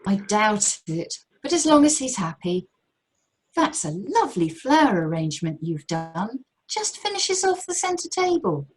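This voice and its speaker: A woman acting a brisk, fussing British chairman's wife in an amateur radio play, wry and a little bossy. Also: mid-range voice, big pitch swings. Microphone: video call.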